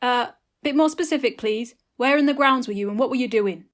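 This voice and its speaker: A woman playing a calm, wry, gently condescending auntie detective in a comic murder-mystery drama. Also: on the high side, brisk, close to an everyday voice. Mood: soothing, firm.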